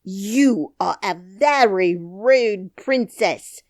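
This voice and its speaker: A woman's voice: mid-range voice, animated, extremely slow, darkish tone, no room sound, clean studio sound, voice pushed up. A woman voicing a frightened, angry female nurse who scolds shrilly in an audiobook. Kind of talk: audiobook character voice.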